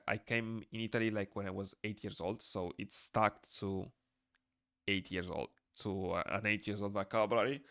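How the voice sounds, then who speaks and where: A young man with a Moldovan accent chats loosely with his stream viewers while he draws. His voice sits on the low side with a lot of gravelly fry. It is recorded dry and close in a small room. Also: a bit bright, dry recording. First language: Romanian. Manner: rambling, reads chat aloud and answers it. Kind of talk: casual chat while drawing on stream.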